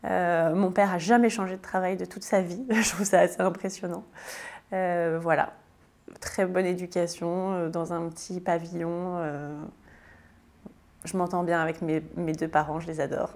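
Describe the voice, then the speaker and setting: A woman's voice: fairly low pitch, little pitch movement, normal speed, a little mellow. A French woman in her thirties answering interview questions casually, with many hesitations and restarts. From France. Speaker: guest, tiny house builder.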